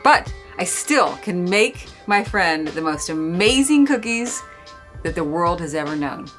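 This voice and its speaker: Chatty woman with a lively, lilting voice. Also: fairly low pitch, a little slow, music in the background. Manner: laughing, chatty, self-mocking. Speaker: sailing vlogger. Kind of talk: casual vlog chat. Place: boat galley, indoors.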